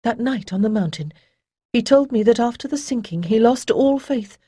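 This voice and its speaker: A woman voicing a calm young bride-to-be who speaks softly but firmly. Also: fairly high pitch, moderate pace, husky, dry recording, clean studio sound, pitched above the performer's own voice.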